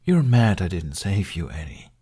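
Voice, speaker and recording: A man's voice: deep voice, very animated, normal speed, mellow, husky, exaggerated voice. A man voicing a cheeky, gluttonous male dragon who teases and plays innocent in a storybook. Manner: cheeky, teasing.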